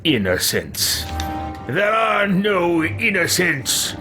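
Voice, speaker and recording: A man plays a jaded, prideful game character who holds everyone in contempt. He draws his words out slowly, with wide swings in pitch, over faint music. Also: big pitch swings, exaggerated voice. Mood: jaded disdain. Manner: slow, disdainful, deliberate. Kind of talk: roleplay voice for a video game character.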